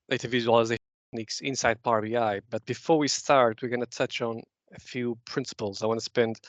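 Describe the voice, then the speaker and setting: A man's voice: medium pitch, a bit bright, no echo, muffled recording. A man from Portugal presenting a data visualisation talk to a user group, explaining with frequent "uh" restarts. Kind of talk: conference talk with slides.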